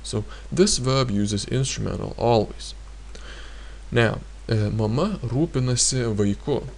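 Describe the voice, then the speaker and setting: Slow, gravelly-voiced man teaching grammar. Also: medium pitch, darkish tone. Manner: hesitant, many filler pauses, repeats example sentences. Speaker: language teacher. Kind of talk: explanatory grammar lesson.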